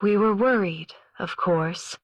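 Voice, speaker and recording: A woman acting as a grave, dignified banshee from a fantasy game. She speaks very slowly at a medium pitch, in a dry, close recording. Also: dry recording, highs cut off, close to an everyday voice. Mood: grave, concerned. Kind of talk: game character voice acting, anniversary message.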